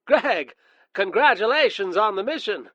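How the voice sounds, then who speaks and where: Man acting bright-voiced, patronizing space agency boss. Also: sits high, expressive, unhurried, slight background noise. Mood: brisk, cheerful. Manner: matter-of-fact, mildly scolding. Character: calm, patronizing male space agency superior. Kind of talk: comedic radio play acting. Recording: muffled audio, faint music.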